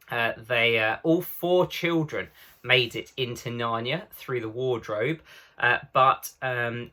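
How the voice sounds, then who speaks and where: Bright-voiced man reading a storybook aloud. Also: medium pitch. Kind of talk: storybook narration for children.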